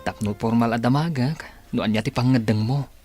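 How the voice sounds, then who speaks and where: An actor with an Ilocano accent playing an earnest young suitor in a radio drama. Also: male voice, medium pitch, a little fast, over music, lightly acted. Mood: earnest, pleading.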